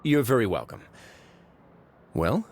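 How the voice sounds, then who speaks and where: A man's voice: mid-range voice, very animated, takes it slowly, a little hiss or hum. A man voicing a suave prison warden in a game, courteous with a dry, sarcastic edge. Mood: wry. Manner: smooth, courteous, wryly sarcastic. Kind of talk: game voice acting.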